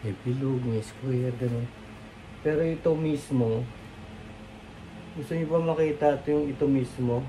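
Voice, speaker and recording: A man's voice: mid-range voice, a little slow, pauses often, mellow. A Filipino man giving a casual, rambling product demo in short fragments. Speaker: product reviewer. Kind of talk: casual unboxing and demo chat. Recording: echoey, noisy room, amateur karaoke-mic demo.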